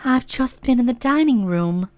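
Brief: woman reader doing a soupy, sentimental young miss, high and lilting, dry booth sound. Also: lively intonation, unhurried, dry recording. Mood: worried. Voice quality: soupy, trickly voice.

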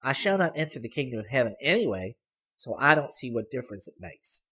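Sabbath, a cocky, artless teenage girl, as a male narrator puts her on, husky and raised well above his own low register. She talks bluntly, pushing provocative remarks without shame in a storybook reading. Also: sing-song, fairly quick, a bit bright. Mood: brazen. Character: brash, teasing Southern teenage girl. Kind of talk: audiobook character voice.

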